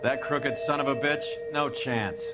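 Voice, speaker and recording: Cynical American detective played by a man, music loud behind. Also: mid-range voice, fairly even intonation, a little slow, lightly acted. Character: smooth, cynical, crooked American police detective. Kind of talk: 1940s noir video game acting.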